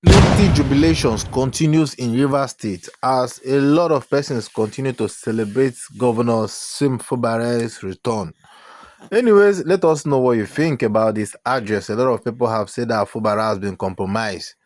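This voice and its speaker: A man's voice: medium pitch, moderate pace, darkish tone. A man with a Nigerian accent giving informal news commentary as an online commentator.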